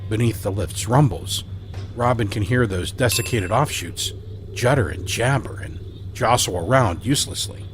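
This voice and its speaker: A man narrating an audio drama in a measured, dramatic storytelling manner. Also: lowish voice, slight background noise.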